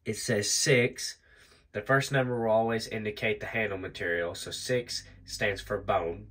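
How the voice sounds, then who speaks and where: A young man with a nasal, stuffy voice from allergies, chatting casually through a show-and-tell of his collection, relaxed and rambling with lots of ums. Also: fairly low pitch, measured pace. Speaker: knife collector vlogger. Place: indoors at a table.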